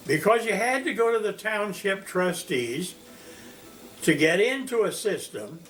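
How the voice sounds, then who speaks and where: A man of one hundred from Indiana, recalling his life as a teacher in a slow, storytelling interview. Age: 100s. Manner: slow, storytelling, animated pitch swings. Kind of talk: reminiscing interview.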